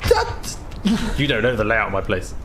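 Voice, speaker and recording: A man playing in a tabletop game, excitable and stammering. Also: fairly low pitch, moderate pace. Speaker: player. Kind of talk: in-character tabletop play. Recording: noisy home recording with ambience.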